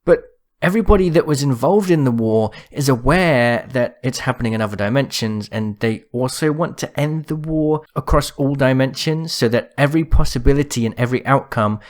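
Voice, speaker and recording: A man hosting a gaming video, explaining lore in a conversational, speculative commentary style. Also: medium pitch.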